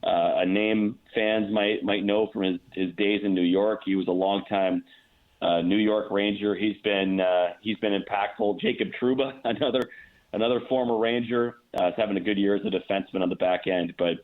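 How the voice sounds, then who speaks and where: Male sports announcer as phone-in guest, low voice, narrow tinny line, conversational analysis. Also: fairly even intonation, normal speed. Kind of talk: conversational hockey analysis in an interview. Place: remote call into a radio show.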